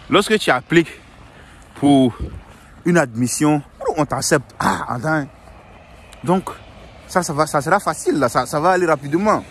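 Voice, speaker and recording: A man's voice: a little high, a bit bright, slight background noise. A young man giving earnest, repetitive advice in a casual monologue, often restarting his sentences.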